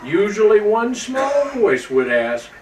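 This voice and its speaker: A man playing Santa Claus, a jolly old man reading a storybook to children in a folksy, slightly halting way. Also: sits high, sing-song, pitched above the performer's own voice, full character voice. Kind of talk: storybook read-aloud to children. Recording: roomy, distant microphone with faint background noise.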